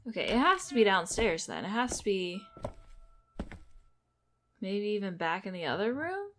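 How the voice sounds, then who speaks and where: A woman's voice: medium pitch, big pitch swings, normal speed, a bit bright, slight background noise. A woman giving casual gameplay commentary, thinking aloud as she goes. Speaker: video game streamer. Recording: game music behind the voice.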